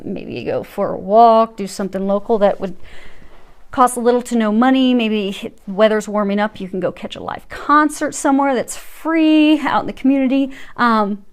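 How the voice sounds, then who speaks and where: Gentle woman reading tarot. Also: mid-range voice, clean tone. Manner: gentle, reassuring, many fillers and restarts. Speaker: tarot reader. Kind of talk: casual tarot reading to camera.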